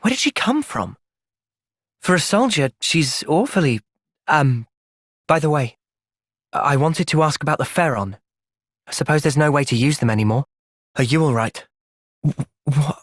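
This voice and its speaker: A man with a British accent playing a thoughtful, gentle young soldier in video game cutscenes, measured and earnest. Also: fairly high pitch, lively intonation, no echo, studio quality. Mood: quiet, pensive.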